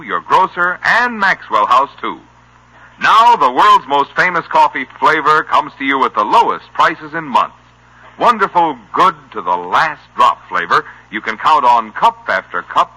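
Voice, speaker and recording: A man's voice: fairly high pitch, poor audio. An American man reading a scripted vintage radio commercial with polished, salesmanlike delivery. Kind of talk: scripted 1950s radio advertisement. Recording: old, bright radio broadcast recording.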